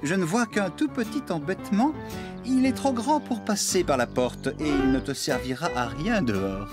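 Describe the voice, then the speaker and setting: A good-natured male farmhand in a preschool cartoon. He is slightly sceptical and exclaims readily, his pitch moving in lively swings. Music is clearly heard behind him. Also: moderate pace. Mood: chatty. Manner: animated, exclaiming. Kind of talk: French-dubbed preschool cartoon acting.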